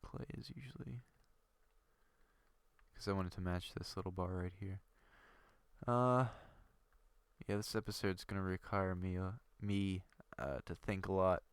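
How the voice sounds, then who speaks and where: A young man with a low, gravelly voice and a stuffy, congested nose narrates his building game in a loose, rambling way. His words come out smooth and close-up, in a dry room with no echo, broken by fillers and half-finished thoughts. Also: fairly even intonation, measured pace, pauses often. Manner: rambling, thinking aloud with many fillers. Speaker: gaming video creator. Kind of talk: casual gameplay commentary.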